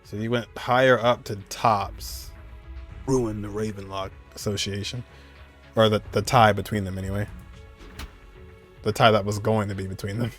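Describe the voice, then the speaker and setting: A man's voice: fairly low pitch, fairly even intonation, normal speed, long pauses, faint music behind. A man giving casual, offhand live reaction commentary as a gaming streamer, in muttered asides.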